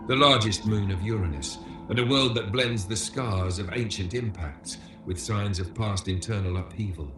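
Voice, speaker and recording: A man giving scripted educational documentary narration as a tour guide, in a measured, solemn manner. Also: lowish voice, a bit bright, reverberant, rough recording. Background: music.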